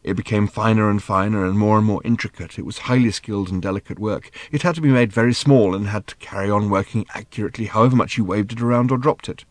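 A man's voice: fairly low pitch, fast talker, few pauses, no echo, natural voice. A man reading an audiobook voices a bewildered, mild-mannered father who explains things hesitantly. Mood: explaining. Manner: hesitant, apologetic.

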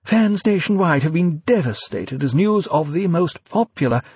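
Solemn female anchor, formal announcing ; male narrator voicing her, raised and husky. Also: a little high, expressive, normal speed, darkish tone, dry recording, distant mic, voice pushed up, exaggerated voice. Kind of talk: audiobook character voice.

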